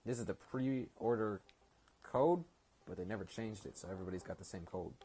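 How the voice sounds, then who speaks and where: A man's voice: on the low side, moderate pace, dark tone, breathy edge. A man giving casual, rambling let's play commentary, explaining the game informally as he goes. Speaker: gaming video host. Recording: noisy home recording with game ambience.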